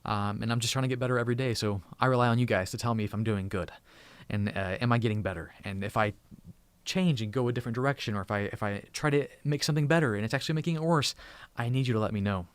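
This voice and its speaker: A man's voice: medium pitch, fast talker, smooth, no echo. A man in his thirties hosting a tech channel live stream from home, chatting casually and thankfully with viewers, often restarting and repeating words. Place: home room.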